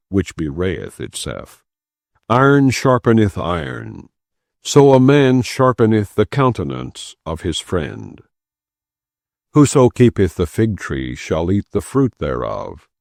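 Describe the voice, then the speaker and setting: Male audiobook narrator for scripture: low voice, slow solemn pacing, varied intonation, close dry sound. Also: a little mellow, no room sound. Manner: solemn, measured delivery. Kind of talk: non-dramatized scripture reading.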